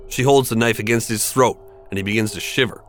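A man narrating a dark scripted horror story in a measured, deliberate, dramatic way over music. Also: lowish voice. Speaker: podcast narrator. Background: background music.

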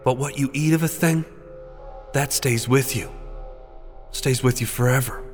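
A man acts out a female killer in an audio horror tale. She is calm, taunting and quietly seductive, her lines pitched up and deliberate. Soft music runs underneath. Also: long reverb tail, natural voice. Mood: menacing, taunting.

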